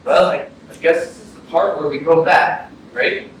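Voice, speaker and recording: A man's voice: a little high, steady tone, natural voice. An actor playing a troubled, earnest young man with a hesitant delivery in a staged play reading. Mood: reflective. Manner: hesitant, halting. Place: arts center stage. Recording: very echoey hall, distant, faint noise.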